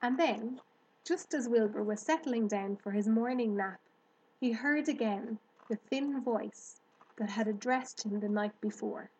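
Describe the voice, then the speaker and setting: A woman reads a children's story aloud at a slow, measured pace. Her voice sits a little high and has a slightly husky edge, with the careful delivery of scripted narration. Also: a little mellow, rough recording. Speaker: storybook reader.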